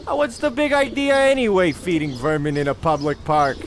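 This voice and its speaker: Man acting a high, pompous, fussy gentleman. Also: brisk, a little hiss or hum, lightly acted. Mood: indignant. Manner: pompous, exclamatory, theatrical. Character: pompous, fussy, easily annoyed well-dressed gentleman.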